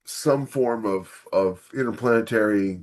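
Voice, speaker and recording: Casting: male podcast host, lower-pitched voice, loose conversational delivery that works ideas out aloud. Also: a little slow. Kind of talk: casual podcast discussion.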